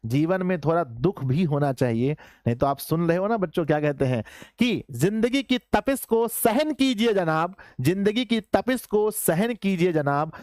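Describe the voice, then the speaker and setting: A slightly hoarse man runs a casual online lesson, joking with his students and calling them children. His voice sits a bit high and sounds close and dry, with no room around it. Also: darkish tone, no echo. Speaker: online Hindi teacher. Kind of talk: casual live class lesson.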